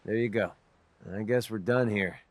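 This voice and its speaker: A man's voice: fairly low pitch, moderate pace, dry recording, close to an everyday voice. A man playing a young American mercenary sharpshooter in a video game, cocky yet vulnerable, talking in a casual, self-deprecating, confiding way. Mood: relaxed, satisfied. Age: young adult. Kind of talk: video game character dialogue.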